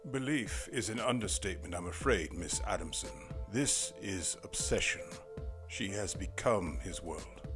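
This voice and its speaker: A man playing a formal, courteous, grave investigator in an audio drama, with measured, old-fashioned wording. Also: low-pitched, expressive, over music.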